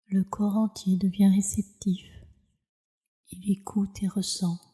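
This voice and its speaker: Slow, very dark-voiced woman guiding meditation. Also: mid-range voice, level tone. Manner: soothing, soft, measured delivery with breathy phrase endings. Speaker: yoga nidra teacher, meditation guide. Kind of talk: guided relaxation meditation.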